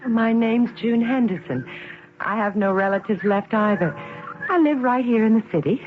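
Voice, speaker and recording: An actress playing an earnest, anxious young city bank trainee in a radio drama, speaking politely and nervously. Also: female voice, a little high, normal speed, soft background music, lightly acted. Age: young adult. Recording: tinny, noisy old radio broadcast recording.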